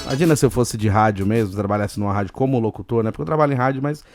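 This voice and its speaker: A man with a Brazilian accent hosting a casual solo podcast, hoarse and chatty, giving listeners shout-outs. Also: on the low side, very fast, music in the background. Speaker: podcast host.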